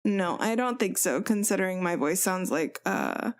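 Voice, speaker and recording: A woman with a deep voice co-hosting a podcast in casual, wry, joking chat. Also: expressive, normal speed. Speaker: podcast co-host.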